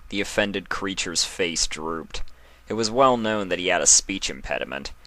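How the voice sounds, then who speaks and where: A man reading fan fiction aloud as narrator, in an expressive storytelling style. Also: deep voice, normal speed, no room sound, rough recording.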